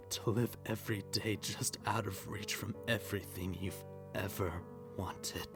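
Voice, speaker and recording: An actor playing a bitter, unhinged widowed middle-aged father, sardonic and menacing, in a dramatic comic dub. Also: lowish voice.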